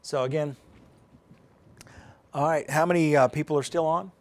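A man's voice: on the high side, fairly even intonation, normal speed, slight background noise. A middle-aged man hosting a live weather explainer as a TV meteorologist, talking casually and thinking aloud with restarts. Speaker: TV meteorologist, host. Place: indoor TV news studio. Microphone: lapel mic.

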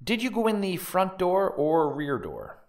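A man's voice: high voice, wildly swooping pitch, a little slow, heavily acted. A man playing a formal, precise prosecuting lawyer from the 1890s in a dramatic transcript reading. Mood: methodical. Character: methodical male 1890s prosecuting lawyer questioning witnesses.

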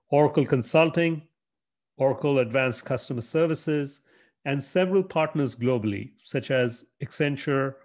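A man presenting a scripted corporate talk in a measured, formal way, reading from prepared text. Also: mid-range voice, darkish tone. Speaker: product presenter.

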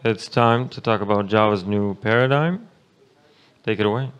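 A man's voice: lowish voice, normal speed, clear, resonant tone. A man introducing the next speaker to a conference hall. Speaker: conference host. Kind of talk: stage announcement.

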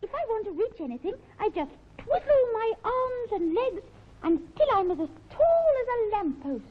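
A woman's voice: very high voice, big pitch swings, moderate pace. A woman voicing a chirpy childlike toy puppet in a 1950s children's show. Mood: chirpy, wistful. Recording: tinny old television soundtrack.

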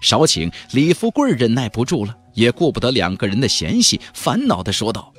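Male audiobook narrator, very animated delivery with a husky edge, faint music underneath. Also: mid-range voice, normal speed. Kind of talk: dramatic scripted narration of a fantasy novel.

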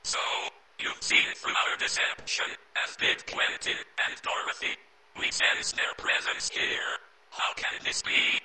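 A man voicing an ancient, malevolent eldritch entity in a horror drama, speaking solemnly in an unvoiced, breathy rasp. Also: measured pace, sharp tone. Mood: cold menace. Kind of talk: analog horror monster acting.

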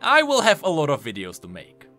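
A man narrates an explainer video in a bright, fairly high voice. His intonation is very animated, with emphatic points made like an enthusiastic gaming commentator. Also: a little fast. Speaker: video game lore YouTuber. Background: music.

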